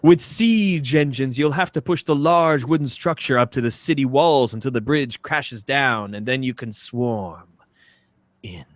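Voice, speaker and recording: A man's voice: medium pitch, a little slow, no room sound. A man co-hosting a comedy podcast, bantering casually and doing comic readings. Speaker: podcast co-host.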